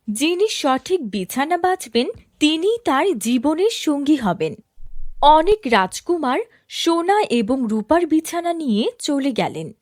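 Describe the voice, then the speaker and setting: A woman's voice: high voice, dry recording, clean studio sound. A woman narrating a scripted fairy tale as a storyteller.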